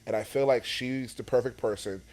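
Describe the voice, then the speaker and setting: A man's voice: mid-range voice, brisk, darkish tone. A man hosting a podcast interview, asking questions with lots of fillers and agreeing often. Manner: affirming, filler-heavy questions. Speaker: podcast host. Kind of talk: casual interview chat.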